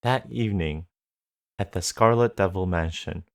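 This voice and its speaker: A man's voice: low-pitched, moderate pace, gravelly. A man giving scripted narration for a radio drama.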